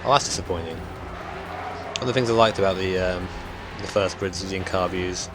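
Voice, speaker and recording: Croaky-voiced young man. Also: sits low, fairly even intonation, normal speed, over music. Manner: rambling, hesitant, with many ums. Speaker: gaming YouTuber. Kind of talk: casual gameplay commentary.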